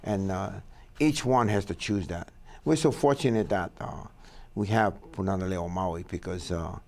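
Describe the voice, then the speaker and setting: A man from Hawaii, talking in a casual studio chat and pausing often on "uh" in a plain, pidgin-tinged way. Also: low voice, a little mellow. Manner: halting, many "uh" fillers, plain pidgin-tinged phrasing. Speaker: foundation founder, co-host.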